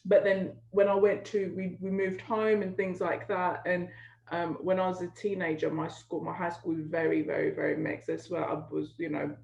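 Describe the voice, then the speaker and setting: A woman's voice: on the low side, normal speed, gravelly, reverberant, muffled recording. A woman in her thirties, born in northern Iraqi Kurdistan, speaks candidly as an interview guest over a video call from home, talking with her hands. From Kurdistan, northern Iraq. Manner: animated, talks with her hands. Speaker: guest, business coach.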